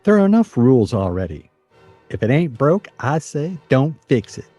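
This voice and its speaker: A man's voice: mid-range voice, big pitch swings, moderate pace, dark tone, husky, dry recording, soft background music. An actor in a radio play playing a stubborn, plain-spoken man nearing fifty with an American accent. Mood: stubborn, mild. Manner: plain, matter-of-fact.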